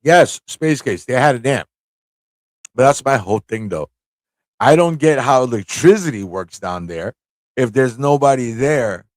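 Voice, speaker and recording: A man's voice: medium pitch, lively intonation, a little slow, no echo. A man hosting a livestream fan chat, talking in a rambling, informal way with frequent rhetorical tag questions. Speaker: livestream talk show host.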